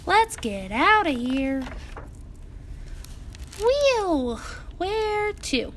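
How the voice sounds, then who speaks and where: A plucky, excitable little pig from a children's storybook, acted out by a woman. The voice is extremely high and very slow, with playful, exclamatory lines that leap up and down. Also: a little hiss or hum, heavily acted. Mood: excited, curious.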